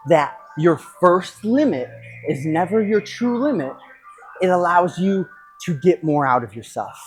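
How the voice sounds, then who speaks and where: This is a podcast host giving a casual motivational talk in a mid-range voice. The delivery is reflective and emphatic. Phrases are broken by pauses and often repeated to drive them in. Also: medium pitch, rough recording.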